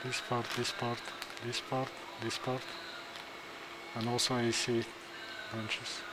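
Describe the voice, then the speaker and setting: Guy talking through a procedure live, halting, thinking out loud, noisy room behind him. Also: mid-range voice, monotone. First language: Kazakh. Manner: halting, thinks aloud with pauses and restarts. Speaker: interventional neurosurgeon. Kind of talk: live commentary during a procedure.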